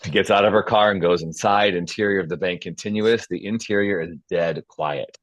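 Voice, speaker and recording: A man's voice: deep voice, fairly quick, fairly bright tone, dry recording. A man narrating stage directions at a script table read.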